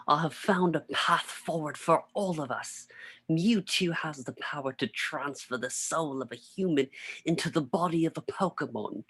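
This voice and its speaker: A woman's voice: low voice, husky, dry recording. A woman playing a grandiose, messianic villainous tycoon, giving solemn speeches in an amateur script reading. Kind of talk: amateur online table read of a film script.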